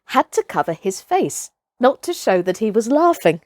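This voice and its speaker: A woman's voice: fairly high pitch, very animated, normal speed, darkish tone, dry recording, studio quality. A woman narrating a children's story expressively, as a storyteller. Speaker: storyteller, actress.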